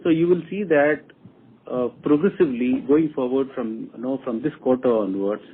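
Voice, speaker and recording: A man with an Indian accent, a company executive answering questions on an earnings call in a deliberate way, laying out his points one by one. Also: mid-range voice. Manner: deliberate, repeats and enumerates points. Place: telephone conference call. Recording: muffled phone line with faint noise.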